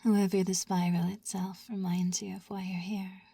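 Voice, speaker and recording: Woman, whispered hypnosis narration, slow and repetitive, flat and even, close dry mic. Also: medium pitch, creaky, breathy edge, no room sound. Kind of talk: whispered guided sleep hypnosis roleplay.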